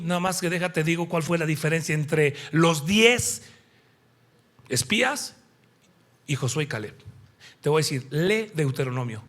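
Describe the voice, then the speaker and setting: A middle-aged or older man with a Mexican accent preaching an animated, conversational sermon, emphatic and playful as he acts out bits of dialogue. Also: a little high. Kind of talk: animated sermon with anecdotes and Bible quotations.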